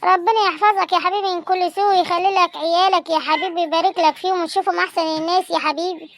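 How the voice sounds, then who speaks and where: A woman's voice: very high-pitched, fairly even intonation, rapid-fire, no room sound. A young woman playing a lonely Egyptian grandmother. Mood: mournful. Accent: Egyptian Arabic. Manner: mournful, pleading, calls everyone "my dear". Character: lonely frail old grandmother, tearful and pleading. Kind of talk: amateur acted skit.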